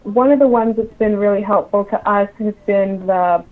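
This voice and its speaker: A woman's voice: mid-range voice, moderate pace. A woman speaking as an interview guest, explaining things in an informal, conversational way over a remote call. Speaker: interview guest, book publisher. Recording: tinny phone-like call line.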